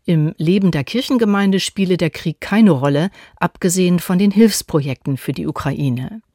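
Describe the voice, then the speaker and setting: A woman reporter narrating a scripted radio feature in a measured, even reading. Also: fairly low pitch, no echo, clean studio sound.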